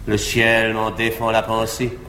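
An actor playing a proud, cynical, defiant libertine nobleman in classical French theatre, declaiming with cool and measured haughtiness. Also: fairly low pitch, completely flat delivery, sharp tone. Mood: cool disdain. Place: large theatre stage before an audience. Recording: echoey 1950s live theatre recording, faint noise.

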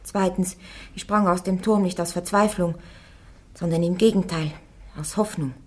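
A woman's voice: fairly low pitch, steady tone, moderate pace, natural voice. An actress playing a young peasant woman on trial before churchmen, answering them stubbornly and plainly. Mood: defiant. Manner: stubborn, plain-spoken and pleading. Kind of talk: radio play acting.

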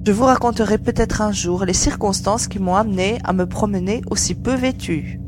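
A woman's voice: mid-range voice, normal speed, background noise, close to an everyday voice. A woman playing a good-humoured young rescued adventurer in a science fiction audio drama. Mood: amused, easy. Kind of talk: French audio drama acting.

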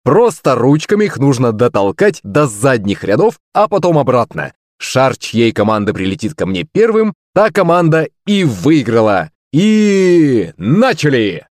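Man acting excitable male show host, much higher pitch, swooping intonation, showy, proclaiming, audiobook character. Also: unhurried, hardly any pauses, no room sound, clean studio sound.

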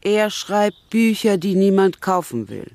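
An older actress playing a blunt, disapproving elderly English spinster aunt in a radio play, brusque and scornful. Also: female voice, medium pitch, sing-song, measured pace, a little mellow, dry recording.

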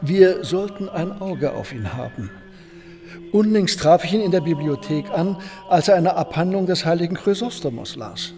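A solemn abbot in a reverberant hall. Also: male voice, a little high, expressive, normal speed, darkish tone. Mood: deliberating. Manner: solemn, formal, declaiming. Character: stern, formal medieval Benedictine abbot. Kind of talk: radio drama acting. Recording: long reverberant hall, music behind.

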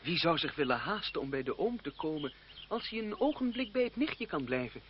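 Courteous, proud young priest-to-be, highly animated delivery, vintage radio play, muffled and slightly noisy. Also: male voice, a little fast. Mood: gallant. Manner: courtly, theatrical. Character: gallant, courteous, proud young French seminarian. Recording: dull, noisy old radio-play recording.

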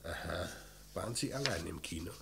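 An actor playing a dry, probing police commissioner in a German radio crime play, questioning in a terse deadpan way. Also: male voice, deep voice, a little fast, vocal fry, over music. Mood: probing, restrained. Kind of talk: mid-century German radio play acting. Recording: noisy vintage radio drama recording.

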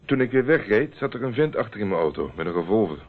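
An actor playing a dogged, self-assured private investigator in a radio drama, matter-of-fact and clipped, on a narrow old radio recording. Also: male voice, fairly low pitch, big pitch swings, fast, husky, heavily acted. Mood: guarded, insistent.

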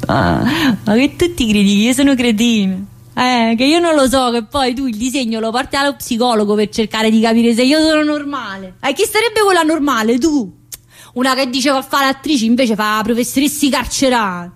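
A woman's voice: on the high side, expressive, normal speed, natural voice. An actress playing a brash, restless middle-aged female prison inmate in a theatrical monologue, with a southern Italian accent and a chatty, confrontational manner. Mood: scornful, challenging. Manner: chatty, confrontational, with frequent interjections and questions. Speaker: stage actress performing a monologue.

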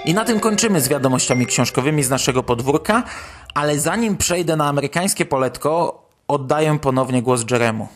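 Higher-voiced man presenting podcast news. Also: animated, fairly quick, over music. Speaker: podcast host. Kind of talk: scripted news narration for a podcast.

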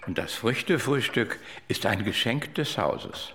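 A man playing an obliging, officious hotelier in a radio drama, with a creaky voice and formal, long-winded manners. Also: on the low side, lively intonation, measured pace, bright, forceful tone, full character voice. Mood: officious, courteous.

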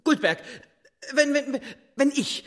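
An unhinged young king in a radio drama, his voice very high and swinging wildly. He stammers between imperious commands and desperate pleading, with a sharp, husky edge. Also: expressive, slow pace, full character voice. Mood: fevered, exalted. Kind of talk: radio play acting.

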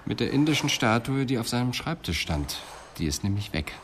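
A man's voice: mid-range voice, sing-song, brisk, fairly bright tone, a little hiss or hum. A man playing a pensive, dryly ironic police detective in a radio crime drama, speaking in a thoughtful, clipped way. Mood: dry, alert.

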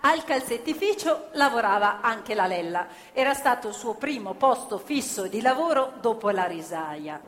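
Higher-voiced female storyteller in a reverberant hall. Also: lively intonation, normal speed, hardly any pauses. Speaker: actor-storyteller. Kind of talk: dramatic theatrical storytelling for radio theatre. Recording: reverberant hall, live stage performance.

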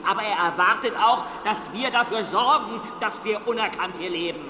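A man's voice: sits high, moderate pace, bright, forceful tone. An actor playing an insistent, exasperated male gatekeeper of a secluded commune, lecturing and arguing in a radio drama. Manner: lecturing, argumentative. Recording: echoey hall with noise behind.

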